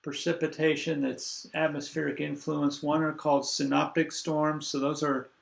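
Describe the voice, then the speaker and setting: An older man lecturing a university class over a video call, congested, halting and full of fillers as he thinks aloud. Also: fairly high pitch, completely flat delivery, creaky, echoey room.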